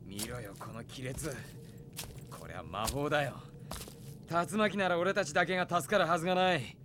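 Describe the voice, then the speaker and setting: An actor playing a dry, level-headed young knight, wry and matter-of-fact, in a radio drama. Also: male voice, a little high, moderate pace, a bit bright, slight background noise, close to an everyday voice. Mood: wry, awed.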